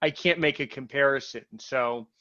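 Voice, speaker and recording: An American man talking in a casual interview. He is animated, repeats and restarts his words when he gets excited, and comes through a video call. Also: medium pitch, moderate pace. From United States. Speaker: interviewee and show host. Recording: lo-fi online call audio.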